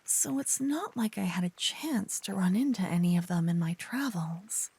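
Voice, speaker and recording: A woman playing an earnest, chatty young paladin girl in an ASMR fantasy roleplay, with soft, expressive, dramatic delivery. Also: mid-range voice, moderate pace, vocal fry, slightly rough, heavily acted. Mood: curious, puzzled.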